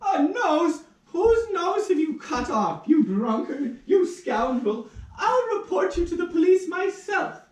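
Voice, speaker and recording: A man voicing a shrill, scolding barber's wife for a puppet play, shrieking accusations in falsetto, in a roomy rehearsal recording. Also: big pitch swings, takes it slowly, hardly any pauses, darkish tone, distant mic, exaggerated voice. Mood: furious. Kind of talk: puppet theatre acting.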